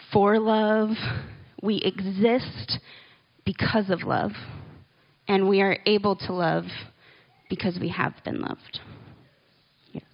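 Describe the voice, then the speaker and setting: A woman giving a relaxed, conversational Bible teaching, with small laughs and asides as she explains scripture. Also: medium pitch, highs cut off. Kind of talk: relaxed church teaching talk.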